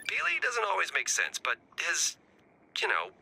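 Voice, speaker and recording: An actor playing a flippant, scheming, laid-back ex-spy buddy in a video game, talking in a wry, slangy, rambling way. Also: male voice, fairly high pitch, huge pitch swings, moderate pace, gravelly, bright, forceful tone, slightly rough, exaggerated voice, rough recording. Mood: laid-back, amused. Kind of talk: scripted game dialogue, casual chatty.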